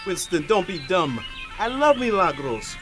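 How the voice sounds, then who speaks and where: A man's voice: high-pitched, sing-song, a little slow, over music. A man with a Caribbean accent playing a feisty, indignant gardener and doting father in a stage drama. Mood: indignant, shocked.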